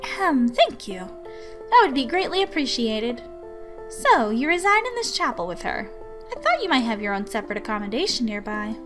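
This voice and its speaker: A high, very animated young scholar, acted by a woman reading a visual novel. She is formal and apologetic, easily embarrassed and very polite. Music plays clearly behind her. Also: normal speed. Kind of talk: visual novel character acting.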